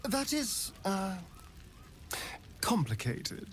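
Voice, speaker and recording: A man's voice: sits high, huge pitch swings, extremely slow, a little hiss or hum, heavily acted. A man playing an elegant, wry vampire spawn in a video game, theatrical yet guarded and hesitant. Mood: uneasy, guarded. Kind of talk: video game dramatic acting.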